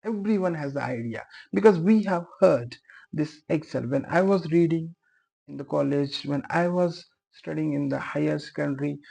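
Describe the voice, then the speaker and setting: A man's voice: fairly high pitch, moderate pace, vocal fry, mellow, slightly rough, poor audio. A young man whose first language is Urdu, earnestly explaining a beginner's tutorial and repeating his points, with many fillers. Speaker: teacher.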